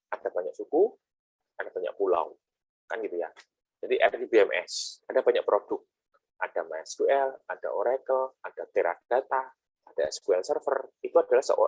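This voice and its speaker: A man's voice: on the low side, husky, dry recording, rough recording. A middle-aged man giving a classroom lecture in a chatty, explaining way, with frequent rhetorical asides and homely analogies.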